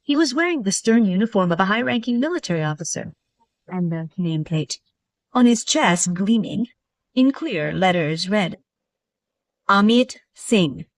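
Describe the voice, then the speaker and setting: A woman's voice: mid-range voice, expressive, moderate pace, no room sound, distant mic. A woman reading scripted narration for a moral story.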